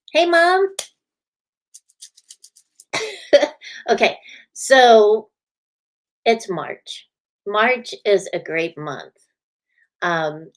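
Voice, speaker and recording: Woman, slightly scratchy voice, lowish pitch, slow unhurried pace, chatty live host. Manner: chatty, laughs often, greets viewers by name. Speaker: host of a spiritual show. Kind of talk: casual live-stream chat.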